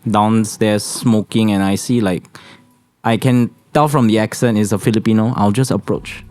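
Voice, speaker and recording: Low-key Singaporean man, low voice. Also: normal speed. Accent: Singaporean English. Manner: calm, low-key, says he speaks monotonously. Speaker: financial consultant guest. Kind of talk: casual podcast chat.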